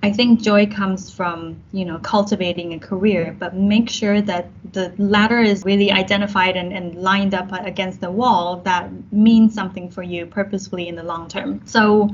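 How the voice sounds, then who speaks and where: Taiwan-raised woman in her late 30s, measured careful answers, kinda muffled recording w faint hiss. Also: mid-range voice, steady tone. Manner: measured, thoughtful, uses corporate phrasing. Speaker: guest, marketing executive. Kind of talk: podcast interview answers. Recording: dull lo-fi with faint noise.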